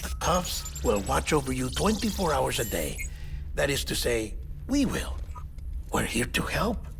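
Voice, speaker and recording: An actor playing an eccentric, chatty middle-aged coroner in a video game cutscene, with theatrical, halting phrasing. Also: male voice, medium pitch, big pitch swings, gravelly, noisy background. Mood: reassuring. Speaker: doctor and former coroner in a game story.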